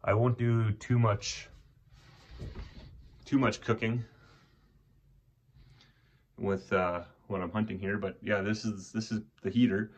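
A young man with a deep voice talks casually in a small wooden shack. His delivery stays flat and even, full of fillers and restarts as he shows the place on a handheld phone. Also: slow pace, pauses often, slightly rough, lo-fi sound. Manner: relaxed, rambling, frequent restarts and fillers. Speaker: vlogger. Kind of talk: casual walkthrough tour. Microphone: handheld phone camera at arm's length.

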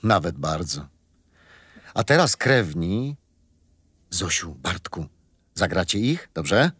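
An actor playing a kindly grandfather who tells his grandchildren bedtime stories gently and expressively in a children's radio play. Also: sits low, very animated, takes it slowly, breathy edge, dry recording, heavily acted.

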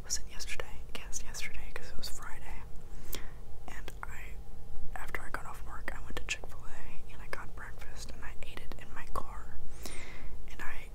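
A man in his twenties rambling casually and haltingly in a breathy, unvoiced whisper, in ASMR style, close to the mic. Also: rough recording. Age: 20s. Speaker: ASMR video creator.

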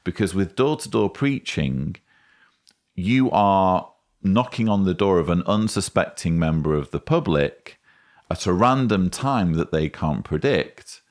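A man in his forties hosts a commentary with a very low voice. He speaks slowly and in a measured, wry way, close to a studio microphone. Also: expressive. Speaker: host. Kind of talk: commentary, rebuttal to camera. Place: indoor study lined with bookshelves. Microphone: studio microphone on boom arm, close.

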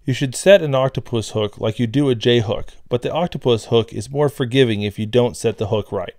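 A man's voice: mid-range voice, brisk, a little mellow, no echo, studio quality. Plain-spoken man explaining fishing tackle. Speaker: fishing instructor. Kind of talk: scripted tutorial voice-over.

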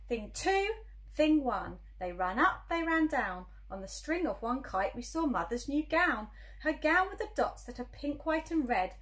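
A woman reading a children's storybook aloud like a teacher, expressive and emphatic on the rhyming words. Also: fairly high pitch.

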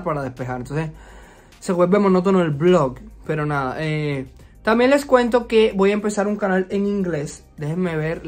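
High-voiced chatty Cuban man. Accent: Cuban Spanish. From Cuba. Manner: chatty and expressive, frequent fillers, playful sass. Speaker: YouTuber. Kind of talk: casual storytime vlog. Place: resort vacation rental.

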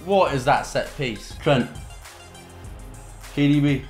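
A young man giving excitable commentary on his own football video game play. He mutters between moves and bursts into loud shouts at goals. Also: mid-range voice, slow. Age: young adult. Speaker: gaming content creator. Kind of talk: live gameplay commentary. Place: home gaming room indoors. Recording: roomy echo, faint game music behind.

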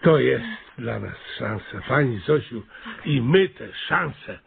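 An actor playing a pompous, scheming and excitable small-town mayor in a radio comedy, blustering and often hesitant. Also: male voice, medium pitch, slow pace, vocal fry, husky, narrow, tinny audio, full character voice. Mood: eager, fumbling. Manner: hesitant, blustering, self-important. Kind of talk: Polish radio comedy acting.

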